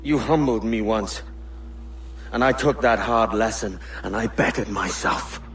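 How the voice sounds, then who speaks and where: An actor playing a gruff, resolute pirate captain in a video game, terse and plain-spoken. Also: male voice, fairly low pitch, a little slow, noisy background. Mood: solemn. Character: gruff, resolute young male pirate captain turned assassin. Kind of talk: dramatic video game acting.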